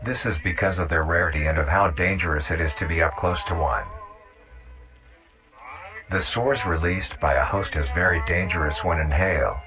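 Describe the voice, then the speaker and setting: A man delivering measured, instructional narration in the scripted style of a nature documentary. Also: low-pitched. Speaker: narrator of a horror nature-guide video. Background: music.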